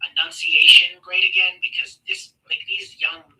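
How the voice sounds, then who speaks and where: A man's voice: sits high, wildly swooping pitch, normal speed, bright, forceful tone, recorded from a distance, rough recording. A man hosting a casual online debate, sarcastic and mocking, rambling with fillers.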